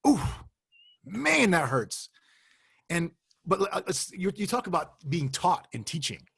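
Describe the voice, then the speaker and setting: A man talking as a guest in a conversation, heartfelt and animated, with exclamations. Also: high-pitched, a little slow, gravelly, husky. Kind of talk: casual conversation.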